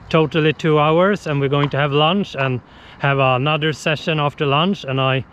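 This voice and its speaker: A man's voice: on the high side, fairly bright tone, slight background noise. A man in his thirties with a Swedish accent, hosting a casual outdoor vlog and talking to a camera held at arm's length. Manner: slow, cheerful, plain non-native English. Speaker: vlogger host. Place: outdoors by a lake. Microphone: action camera held at arm's length. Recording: dull outdoor camera audio.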